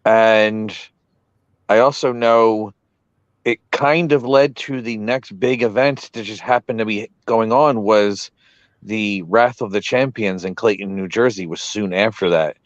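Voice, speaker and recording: A man's voice: on the low side, unhurried, dry recording, muffled recording. A man co-hosting a podcast who is losing his voice, hedging his recollections as he goes. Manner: hedging, repeatedly unsure of his memory. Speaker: podcast co-host. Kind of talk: casual podcast chat.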